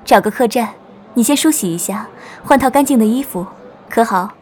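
A woman playing a kind young martial arts wanderer in a Chinese audio drama, gentle and solicitous. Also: on the high side, lively intonation, moderate pace, a little hiss or hum, lightly acted. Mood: gentle concern.

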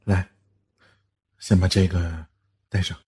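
A man playing a calm, doting, teasing lover in a Chinese costume audio drama, speaking gently and unhurriedly. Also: low-pitched, takes it slowly, breathy edge, full character voice. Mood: tender.

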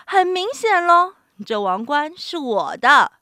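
A bossy storybook lion, performed by a woman, showy and loud. The voice is bright and swings widely in pitch, with a commanding, self-important manner. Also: sing-song, slow, no echo, clean studio sound, full character voice. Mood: smug. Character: boastful, bossy male lion claiming a crown.

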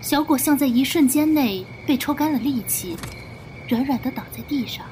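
A woman's voice: high-pitched, lively intonation, moderate pace, a little hiss or hum. A woman giving dramatic scripted narration for an audio drama. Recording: loud music behind the voice.

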